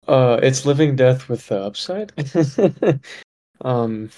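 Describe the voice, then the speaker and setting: A man co-hosting a podcast, talking casually with many fillers, often dropping quieter at the ends of his thoughts. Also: medium pitch, slow pace. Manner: relaxed, lots of fillers, trails off quietly. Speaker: podcast co-host.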